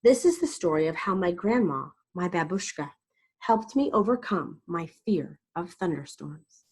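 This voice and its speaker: A woman reading a story aloud to children in an expressive way, with deliberate, dramatic emphasis. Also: on the low side, slightly rough. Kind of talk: expressive read-aloud narration.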